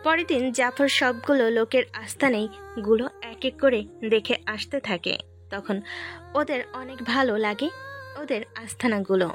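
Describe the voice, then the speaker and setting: A woman tells a scripted story as its narrator. Her voice is fairly high, and music plays underneath. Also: a little slow.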